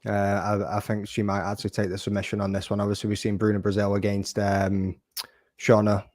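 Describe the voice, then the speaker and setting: A man's voice: lowish voice, monotone, a little fast, a little mellow, no echo, studio quality. A man with a Scottish accent giving casual fight picks, self-mocking and swearing lightly. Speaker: panelist. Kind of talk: casual betting picks chat.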